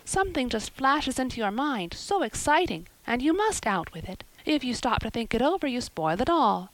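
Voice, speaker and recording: A woman's voice: on the high side, big pitch swings, moderate pace, voice pushed up, rough recording. A woman voicing an eager, dramatic young orphan girl who talks earnestly and breathlessly. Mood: earnest pleading.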